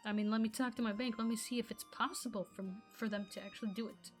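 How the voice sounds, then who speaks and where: A fairly high-voiced woman whose first language is Arabic rants casually to her viewers. She rambles and sounds exasperated, cutting herself off and restarting her sentences. Soft music plays quietly underneath. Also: fairly even intonation, fast. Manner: rambling, exasperated, keeps breaking off mid-sentence. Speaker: gaming vlogger. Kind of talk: casual rant to camera.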